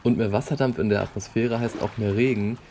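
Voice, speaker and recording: Actor as a riverside resident explaining, faint water noise behind. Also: male voice, fairly low pitch, steady tone, a little fast, mellow, natural voice. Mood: plain. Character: man living by a flood-prone river.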